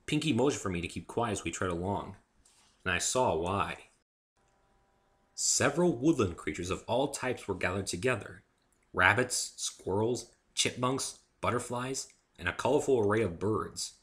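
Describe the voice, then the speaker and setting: A man's voice: on the low side, expressive, normal speed, fairly bright tone. A man giving an amateur dramatic reading of a first-person story as its narrator.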